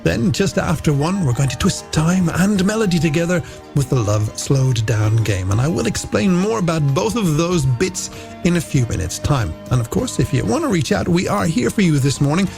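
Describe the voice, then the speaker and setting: A man hosts a late-night radio show over a music bed. His voice sits a little high and swings widely in pitch, with a gravelly creak at the edges. He delivers chatty, playful patter like a scripted link between songs. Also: very animated, moderate pace, darkish tone. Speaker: radio presenter.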